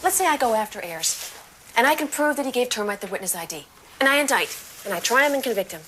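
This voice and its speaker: A woman playing a firm, pragmatic prosecutor in her thirties in an audio drama, brisk, blunt and challenging. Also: fairly high pitch, expressive, a bit bright, a little hiss or hum, rough recording. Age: 30s. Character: firm, pragmatic 37-year-old woman prosecutor, overworked but professional. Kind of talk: dramatic dialogue acting.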